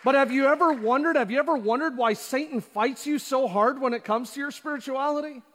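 A man with a very high voice preaching from the pulpit. He asks the congregation question after question and acts out the voices of the people he describes. His voice rings through a large church hall with a long echo. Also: brisk, a bit bright, some chatter behind. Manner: preaching with rhetorical questions and acted-out quotes. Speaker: pastor. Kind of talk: sermon. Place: church auditorium.

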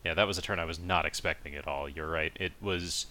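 Man, very deep voice, bright forceful tone, podcast co-host, casual discussion, measured, explanatory. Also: fairly even intonation. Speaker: podcast co-host reviewing anime.

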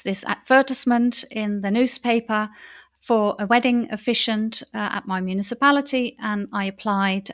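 A woman's voice: mid-range voice, a bit bright. A Dutch woman in her thirties, a native Dutch speaker talking in English as a wedding officiant in a podcast interview, enthusiastic, with many fillers and restarts. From Netherlands.

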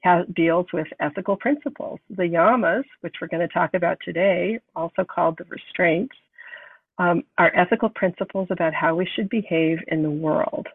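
A woman's voice: on the low side, slightly rough, dry recording. Nasal woman host with a cold. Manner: calm, unhurried guidance. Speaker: podcast host. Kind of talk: podcast interview and guided meditation. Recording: thin phone-like sound, distant from mic.

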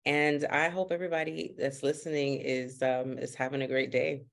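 Gravelly-voiced man speaking Creole first. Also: mid-range voice, flat delivery, normal speed, fairly bright tone. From Miami, Florida, USA. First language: Haitian Creole. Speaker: guest. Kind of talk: podcast interview.

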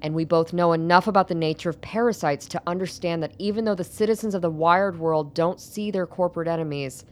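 A woman playing a low-voiced, insistent scientist. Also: natural voice. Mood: persuasive. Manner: insistent, measured, explanatory. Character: resolute, guarded woman scientist in a rebel movement. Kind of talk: radio drama acting.

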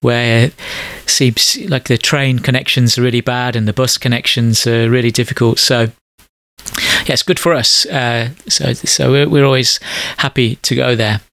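A man's voice: medium pitch, steady tone, normal speed, gravelly, fairly bright tone, no room sound. Middle-aged guy hosting a podcast, dry close sound, loose rambling chat. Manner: chatty, loose, repeats words while thinking. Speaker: podcast host. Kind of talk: casual chat over a call. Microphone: call audio.

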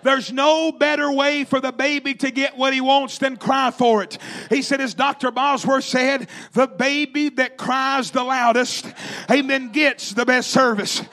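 A man's voice: extremely high pitch, steady tone, unhurried, sharp tone. A man preaching a fervent revivalist sermon, emphatic and repetitive, calling out to his congregation in rhythmic exhortation. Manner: calls out to the congregation.

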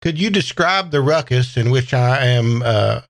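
A man's voice: medium pitch, animated, a little slow, gravelly. A man playing a tabletop game, asking questions in formal, elaborate wording.

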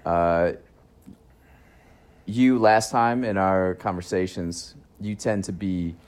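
A man's voice: deep voice, steady tone, slow pace, darkish tone. A man hosting a casual chat, rambling along in short bursts, recorded on a phone in a garage. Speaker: podcast host. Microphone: phone used as recorder.

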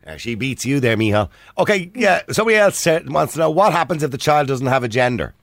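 Fast-talking Irish radio host, lively. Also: male voice, medium pitch, no room sound, clean studio sound. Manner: quick, joking, interrupts callers. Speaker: radio talk show host. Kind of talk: phone-in radio chat. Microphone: studio microphone.

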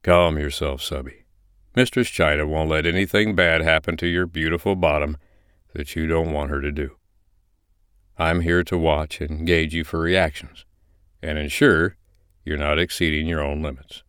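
A man voicing a strong, calm, protective dominant man with an authoritative manner in an audiobook story. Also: extremely low pitch, normal speed, clean tone, dry recording, studio quality.

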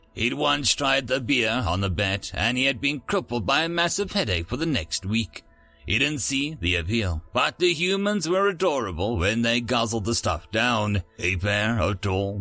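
Bright, theatrical male storyteller. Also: mid-range voice. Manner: theatrical, dramatic announcer delivery. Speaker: narrator and channel host. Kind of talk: scripted story narration with a showy intro.

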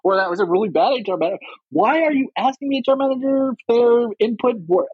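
A woman with a husky voice co-hosting a casual podcast, speaking in an emphatic, exasperated way. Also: a little high, quick pace, no echo, phone-quality sound. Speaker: podcast host. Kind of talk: casual chat.

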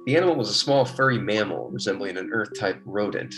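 Prominent music sits behind a low-pitched American biologist in an old radio play. He is earnest and wryly patient, measured and clinical, like a man keeping a careful survey log. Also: lively intonation. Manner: measured clinical dictation alternating with easygoing explanation. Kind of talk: golden age American radio drama acting.

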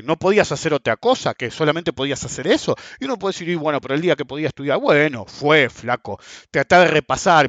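Blunt Rioplatense man ranting, lively pitch. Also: dry recording. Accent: Rioplatense Spanish. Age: 40s. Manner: blunt, crude, repeats "ok?". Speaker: market commentator. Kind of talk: casual ranting monologue. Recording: dull dry lo-fi sound.

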